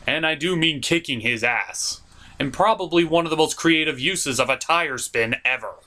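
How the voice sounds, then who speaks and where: A man's voice: on the high side, moderate pace, pushed and bright. A man hosting a cartoon review show, delivering scripted comedic narration in a theatrical, jokey, emphatic way.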